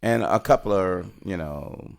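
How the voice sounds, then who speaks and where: A man with a gravelly voice co-hosting a casual movie review, speaking in a halting, hesitant way. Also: deep voice. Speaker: co-host of a movie review show.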